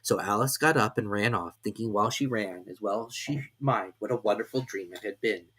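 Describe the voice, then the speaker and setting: A man giving an expressive, measured dramatic reading of a classic tale. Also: on the low side, husky. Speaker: storybook reader.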